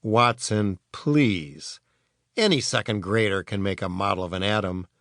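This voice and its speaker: A man's voice: on the low side, unhurried, a bit bright, no echo, clean studio sound. A man voicing an earnest boy inventor in a children's storybook, explaining science eagerly and emphatically.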